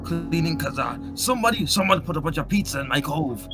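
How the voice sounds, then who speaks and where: A man playing a mischievous, sulky animatronic pirate fox with a halting, grudging delivery in an amateur roleplay. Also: a little high, lively intonation, close to an everyday voice. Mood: feigned innocence. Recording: music behind the voice.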